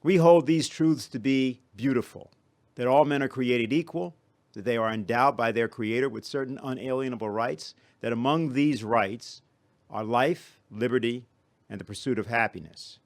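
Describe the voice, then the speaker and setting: A man gives a prepared formal lecture as a conference speaker, measured and oratorical. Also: medium pitch, no echo.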